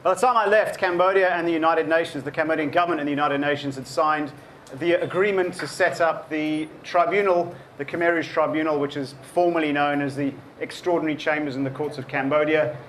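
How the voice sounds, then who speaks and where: A South African man in his forties speaks at a book event, a fairly high voice for a man. He talks in a thoughtful way, with many ums and restarts as he gathers his thoughts. A faint hiss of the room sits under him. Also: fairly even intonation, brisk, a bit bright. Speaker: author giving a book talk. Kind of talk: public talk to an audience.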